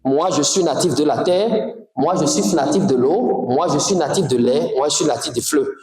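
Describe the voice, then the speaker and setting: Male teacher from Togo, high-pitched, emphatic and animated, very reverberant room. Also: normal speed. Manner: animated, emphatic, questions to the audience. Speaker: spiritual teacher. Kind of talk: lecture to a class. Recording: very echoey room.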